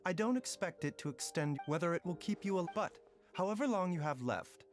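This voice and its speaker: An actor playing a calm, courteous doctor in a video game, speaking in a measured, formal way. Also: male voice, sits high, soft background music. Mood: composed.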